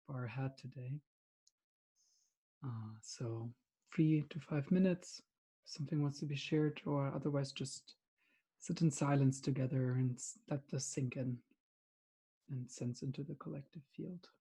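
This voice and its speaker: A man facilitating a group meditation on a video call, gently inviting people to share. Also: mid-range voice, steady tone, moderate pace, slightly rough.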